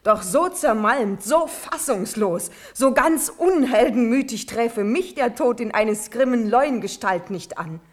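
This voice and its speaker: An actress playing a noble young princess in a classical radio drama, speaking solemn, declamatory verse with passion and pride. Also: female voice, fairly high pitch, lively intonation, measured pace, hardly any pauses. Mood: shaken, lamenting.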